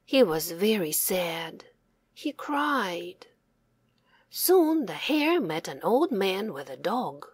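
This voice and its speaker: A woman narrating a children's story aloud with careful, expressive enunciation for young English learners. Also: mid-range voice, slow, breathy edge, dry recording. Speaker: storybook reader.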